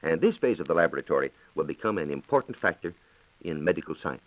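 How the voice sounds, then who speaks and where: A man's voice: a bass voice, expressive, fast talker. An American man reporting on science in a scripted, formal and precise 1940s radio style, on a dull, echoey old recording.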